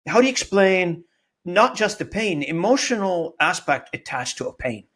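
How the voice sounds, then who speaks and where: A man's voice: high voice, big pitch swings, normal speed, a bit bright. Earnest man hosting an interview. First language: Arabic. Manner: earnest, searching, frequent restarts. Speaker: podcast host. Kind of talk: conversational interview.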